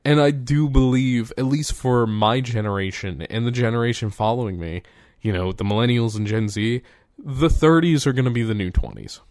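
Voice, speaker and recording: A man's voice: medium pitch, normal speed, a little mellow, no echo, clean studio sound. A man in his twenties hosting a solo podcast, rambling casually, swearing freely and laughing at himself. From United States. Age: 20s. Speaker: podcast host.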